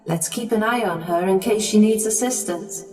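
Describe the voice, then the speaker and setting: A woman's voice: medium pitch, moderate pace, darkish tone, natural voice. A woman playing a kindly, motherly fairy godmother who tells a pantomime story directly to children. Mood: storytelling. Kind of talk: pantomime narration and acting. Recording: long hall reverb, distant, over music.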